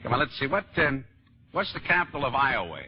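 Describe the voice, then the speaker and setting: A man's voice: medium pitch, brisk, a little hiss or hum, lightly acted. A man playing an easygoing, enthusiastic vaudeville comedian father in an old-time radio comedy drama, with a folksy manner. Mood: good-natured. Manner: folksy, animated, genial. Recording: muffled old radio broadcast recording.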